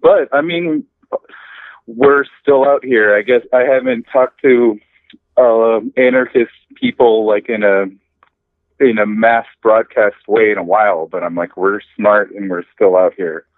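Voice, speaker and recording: A man's voice: on the low side, steady tone, unhurried, no echo. A man in a casual podcast conversation, thinking aloud in a hesitant way. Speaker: podcast discussion partner. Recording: dry, narrow phone-like line.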